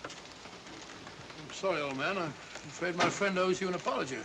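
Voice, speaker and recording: A high-pitched, fussy older English gentleman acted by a man, genial and bumbling. He sounds like a 1940s film character, with heavy noise over the soundtrack. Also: moderate pace, natural voice. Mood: apologetic. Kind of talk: 1940s mystery film acting. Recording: very noisy vintage film soundtrack.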